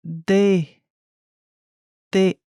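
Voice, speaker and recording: A woman speaks very slowly with a mellow, dark tone. She pronounces each word carefully and deliberately, repeating it for learners. The recording is close and dry. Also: mid-range voice, little pitch movement, smooth, dry recording. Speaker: native-speaker example voice. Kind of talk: slow model pronunciation for learners.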